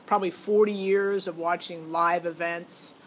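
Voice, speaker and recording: A woman's voice: on the low side, fairly even intonation, moderate pace, slight background noise, low-quality recording. A middle-aged woman giving a casual, rambling personal account as a parent, chatty and emphatic. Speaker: parent vlogger.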